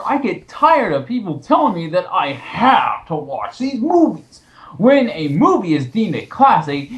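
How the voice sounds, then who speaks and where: A man co-hosting a film commentary, mocking and sarcastic as he reads and reacts casually. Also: high-pitched. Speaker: co-host of a film commentary show. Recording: roomy, echoey voice recording.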